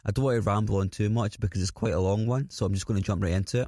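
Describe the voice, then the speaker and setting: A man with a slightly raspy, scratchy voice hosts a podcast in an informal, self-deprecating, rambling way with some swearing. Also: low-pitched, mellow, dry recording, clean studio sound. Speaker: podcast host. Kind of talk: casual podcast introduction.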